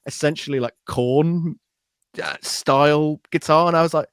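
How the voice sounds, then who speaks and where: A man's voice: fairly high pitch, steady tone, moderate pace, clean tone, no echo, clean studio sound. A man from Anglesey with a Welsh accent, Welsh his first language, chatting as a podcast guest in a rambling, self-deprecating way full of filler words. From Wales (Anglesey). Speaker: guest, pedal maker. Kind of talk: casual podcast chat.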